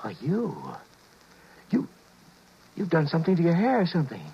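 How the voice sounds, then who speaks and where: A man's voice: fairly high pitch, big pitch swings, normal speed, breathy edge, exaggerated voice. An American man playing a flustered, sheepish suburban husband who narrates and stammers through his misadventure in 1950s radio drama style. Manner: stammering when flustered, exclaiming. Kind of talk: 1950s radio drama acting with wry first-person narration. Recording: dull 1950s radio recording with music.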